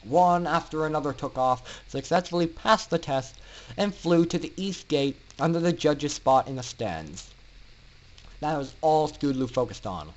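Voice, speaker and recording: A man with a slightly high voice narrates fiction dramatically. His delivery is theatrical and emphatic, leaning on pauses for suspense. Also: expressive, muffled recording. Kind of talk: dramatic fan-fiction story reading.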